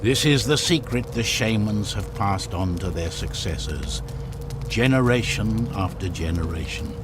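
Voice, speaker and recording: A man's voice: on the low side, expressive, measured pace. A man narrating a video game story in a solemn, theatrical, scripted storytelling style over music. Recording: loud music under the voice.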